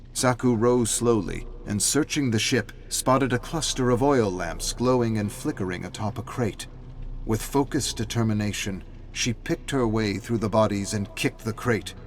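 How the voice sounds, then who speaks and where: Low deep guy brooding narrator for dark game lore, slow-burn dramatic reading, music underneath. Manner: measured, theatrical delivery.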